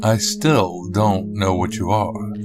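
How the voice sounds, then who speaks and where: An actor playing a stern, wary 1890s man in a gothic audio drama, speaking in a measured murmur. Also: low-pitched, fairly even intonation. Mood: wary, curious. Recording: music playing loudly behind. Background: loud guitar music.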